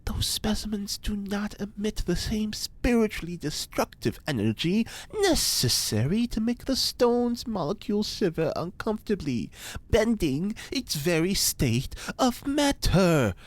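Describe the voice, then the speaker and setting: A man's voice: high-pitched, sing-song. Man playing a theatrical eccentric occult scientist, swooping delivery. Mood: grave, instructive. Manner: theatrical, formal, precise, with dramatic pauses. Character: eccentric pompous occult scientist and ex-cult leader, male. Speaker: fictional scientist being interviewed. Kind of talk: science fiction audio drama acting.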